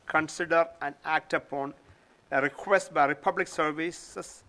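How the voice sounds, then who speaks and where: A man runs a town council meeting, calling motions and reading agenda items in a measured, procedural way. His voice sits a little high for a man. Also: fairly even intonation, pushed and bright. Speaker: mayor chairing a council meeting.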